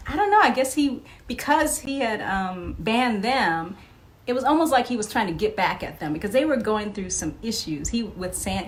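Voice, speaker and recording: A woman's voice: medium pitch, slight background noise. A middle-aged woman chatting casually as an interview guest, telling anecdotes and quoting remembered dialogue.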